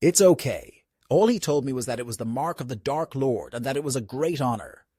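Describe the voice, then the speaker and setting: A man's voice: medium pitch, expressive, brisk, dark tone, husky, no room sound, studio quality. A man playing a proud, privileged but earnest young wizard boy in a comic dub.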